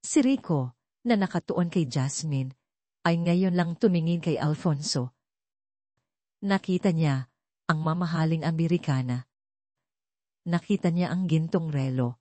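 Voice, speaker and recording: A woman's voice: sits low, unhurried, pauses often, warm tone, no room sound. A woman reading scripted dramatic narration as the narrator of an audio story.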